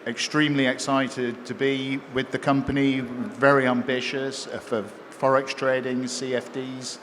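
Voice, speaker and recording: A man, likely middle-aged, introducing himself as a CEO on an expo panel in a measured, polite way. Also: medium pitch, level tone, a bit bright. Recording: reverberant hall with faint noise.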